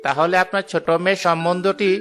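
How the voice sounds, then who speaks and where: A man's voice: high-pitched, fairly even intonation, quick pace, a bit bright. A man voicing an affable village matchmaker in a cartoon story. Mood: affable, persuasive. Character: affable village matchmaker praising prospective grooms. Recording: music behind the voice.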